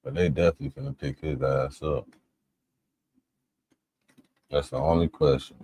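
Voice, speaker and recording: A man's voice: a bass voice, steady tone, normal speed, warm tone, husky, dry recording. A male streamer in casual, laid-back reaction commentary, musing aloud and swearing freely.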